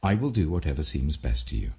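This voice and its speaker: A man voicing a grave, gentle ancient king in a scripture audiobook, calm and regal. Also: extremely low pitch, fast, vocal fry, heavily acted. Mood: resigned, tender.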